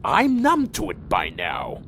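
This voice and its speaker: Male actor as winged vampire leader, very animated intonation, gravelly creak, formal, weary, brooding, fantasy audio drama. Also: sits low, takes it slowly, slight background noise, heavily acted. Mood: weary, resigned. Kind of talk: fan audio drama narration and dialogue.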